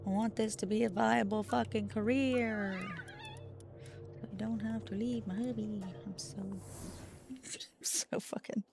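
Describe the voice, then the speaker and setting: Gravelly-voiced woman streamer, swearing freely. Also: mid-range voice, steady tone, a little slow, background noise. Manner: swears often, self-deprecating, chatty. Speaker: VTuber streamer. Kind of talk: casual livestream chat, answering chat.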